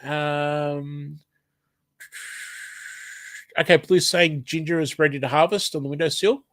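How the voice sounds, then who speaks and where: A man's voice: on the high side, steady tone, slow pace, a bit bright, dry recording. An Australian man with hay fever and a blocked nose, casually reading a live stream chat aloud, hesitant and apologetic, with lots of ums.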